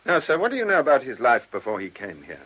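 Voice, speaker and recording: An actor playing a probing, polite but insistent chief inspector with a British accent and a husky voice in a radio play. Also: male voice, mid-range voice, wildly swooping pitch, fast talker, a bit bright, low-quality recording. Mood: businesslike, probing. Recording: old radio drama recording.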